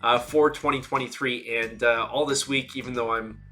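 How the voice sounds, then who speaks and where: A man's voice: mid-range voice, steady tone, moderate pace, sharp tone, soft background music. A man who runs a conference, talking casually and earnestly to camera with a stuffy nose from a cold, full of fillers and restarts. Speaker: conference organizer and host.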